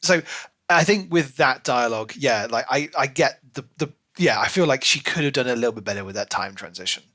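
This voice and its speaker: A man's voice: mid-range voice, lively intonation, brisk, bright, forceful tone. A man co-hosting a podcast, discussing books casually and animatedly, acting out imagined remarks as he goes. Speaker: podcast co-host. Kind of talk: casual book discussion chat.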